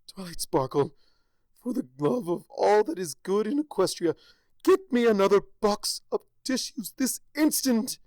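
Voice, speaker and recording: A man's voice: high-pitched, lively intonation, measured pace, darkish tone, no echo, pitched above the performer's own voice. A man voicing a regal female pony princess with a cold, wheezing and strained. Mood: desperate.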